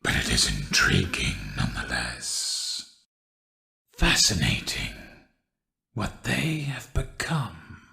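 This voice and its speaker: A man voicing a menacing undead killer from a horror game, theatrical and deliberate, with a gravelly voice that turns breathy at times. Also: deep voice, huge pitch swings, sharp tone, exaggerated voice. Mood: quiet intrigue. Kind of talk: horror game villain voice lines.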